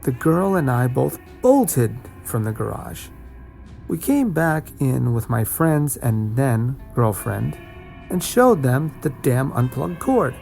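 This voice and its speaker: Male guest reader for first-person horror anecdotes; creaky texture, lively swings in pitch. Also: takes it slowly, faint music behind. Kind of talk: scripted first-person scary story narration.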